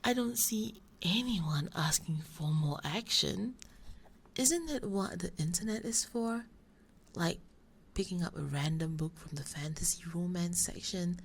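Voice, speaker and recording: Slow, flustered young woman writer voiced by a woman. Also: on the low side, expressive, vocal fry, slightly rough, exaggerated voice. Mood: exasperated rambling. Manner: hesitant, rambling, soft-spoken, self-justifying. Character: flustered young woman romance novelist, shy, rambling roommate. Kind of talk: ASMR roleplay monologue. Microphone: binaural ASMR microphone. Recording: faint noise, binaural microphone.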